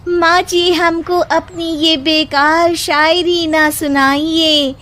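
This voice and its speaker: A spirited young housewife from a comic moral story, acted by a woman. She speaks emphatically in a high, slow voice, with a cheeky edge. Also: steady tone, a bit bright, heavily acted. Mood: cheeky, triumphant. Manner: emphatic, recites mocking rhymes.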